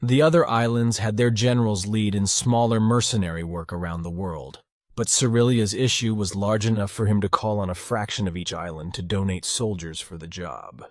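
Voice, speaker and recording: A man's voice: lowish voice, fairly even intonation, normal speed, hardly any pauses, a little mellow, no echo, studio quality. A man reading scripted fiction narration for an audiobook.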